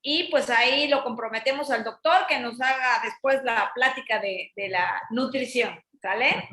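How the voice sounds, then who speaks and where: High, bright woman in a roomy space. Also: unhurried. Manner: friendly, informal with fillers. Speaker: event organizer and host. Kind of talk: online group talk.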